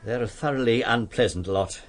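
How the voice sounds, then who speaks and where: An actor playing an earnest, kindly English vicar in a radio drama, speaking politely and precisely with some hesitation. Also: male voice, mid-range voice, normal speed, natural voice. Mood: confiding, disapproving.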